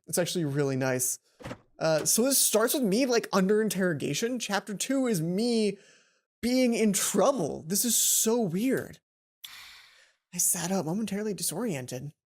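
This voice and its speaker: A woman's voice: medium pitch, moderate pace. A woman hosting a let's play, reading a visual novel aloud expressively and adding casual, chatty commentary. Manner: expressive, acts out lines, then chatty asides. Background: game music.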